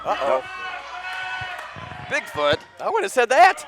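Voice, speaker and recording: A man doing excited, wisecracking wrestling commentary. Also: very high voice, takes it slowly, some chatter behind.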